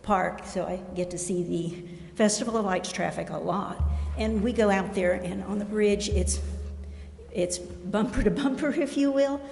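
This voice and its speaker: Creaky older American woman in echoey hall. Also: medium pitch, a little slow, darkish tone. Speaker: retired teacher giving public comment at a council meeting. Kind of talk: informal public remarks. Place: indoor council chamber. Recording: roomy, echoing council chamber with faint noise.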